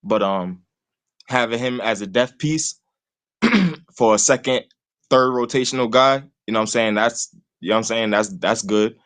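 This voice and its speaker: A man's voice: mid-range voice, normal speed, pauses often, fairly bright tone. A man, talking sports casually and rambling, leaning on "you know what I'm saying" all the time. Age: 20s. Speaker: call-in guest host.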